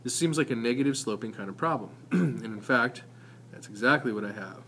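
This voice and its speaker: A man's voice: mid-range voice, animated, brisk, a bit bright, a little hiss or hum. A man giving an informal, explanatory math lesson as a teacher, talking conversationally with some hesitant self-corrections.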